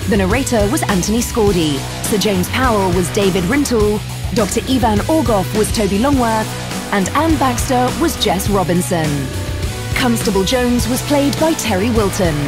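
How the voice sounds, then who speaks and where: Woman announcer over loud guitar music. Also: medium pitch. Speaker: credits announcer. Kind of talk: scripted credits announcement.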